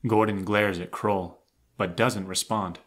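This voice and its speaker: A man's voice: fairly low pitch, steady tone, a little slow, fairly bright tone. A man narrating a scripted audio drama, reading out stage action.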